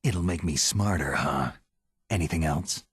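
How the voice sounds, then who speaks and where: Very husky, low-voiced gruff soldier. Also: male voice, unhurried, a bit bright, no echo, full character voice. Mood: dryly sardonic. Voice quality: gravelly, husky. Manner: terse, dry, questioning. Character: gruff, sardonic veteran male special forces soldier. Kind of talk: video game cutscene acting.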